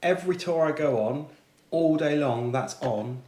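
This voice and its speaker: A man's voice: fairly high pitch, unhurried, dark tone. A man presenting a casual product unboxing, chatting informally with British slang. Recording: echoey room, faint background noise.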